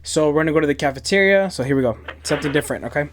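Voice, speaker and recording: A man's voice: fairly high pitch, expressive, rapid-fire, a little hiss or hum. A man hosting a reaction video with informal, slangy, casual commentary.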